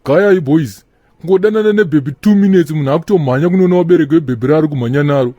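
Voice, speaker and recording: A man's voice: on the high side, rapid-fire, warm tone, no echo, dull audio, natural voice. A man with a Zimbabwean accent voicing a laid-back cartoon character who holds forth to his mates in slang. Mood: opinionated. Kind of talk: animated comedy dialogue, slangy banter.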